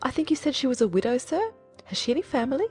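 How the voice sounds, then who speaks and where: A woman's voice: high voice, very animated, fast, a little mellow, slightly rough, faint music behind, pitched above the performer's own voice, heavily acted. A woman voicing a gushing, inquisitive middle-aged mother in a dramatized audiobook of a classic novel. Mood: curious, approving. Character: nosy, excitable middle-aged matchmaking mother.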